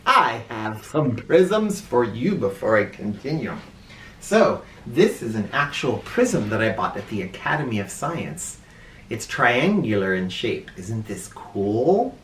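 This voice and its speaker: Echoey, animated man reading a storybook. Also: mid-range voice, a little hiss or hum. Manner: animated, theatrical, drawn-out emphasis. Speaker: children's read-aloud storyteller. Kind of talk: expressive storybook read-aloud. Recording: roomy echo, distant mic.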